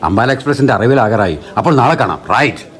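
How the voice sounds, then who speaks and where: A man's voice: medium pitch, expressive, fast, a bit bright, a faint crowd, close to an everyday voice. A man playing a joking railway colleague. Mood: teasing. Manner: conversational and joking. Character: middle-aged railway colleague, friendly and teasing. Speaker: radio drama actor. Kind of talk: radio drama acting.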